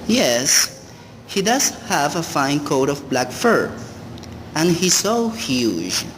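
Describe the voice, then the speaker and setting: A man acting in a radio play as a moody husband who turns into a cruel drunkard, in a theatrical, deliberate delivery. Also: fairly high pitch, sing-song, a bit bright, heavily acted. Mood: affectionate. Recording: noisy, long hall-like reverb.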